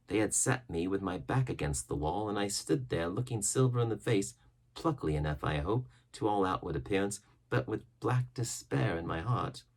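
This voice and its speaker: A man reading an adventure story as a narrator, dramatic and expressive, with deliberate phrasing. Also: lowish voice, low-quality recording. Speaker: audiobook narrator.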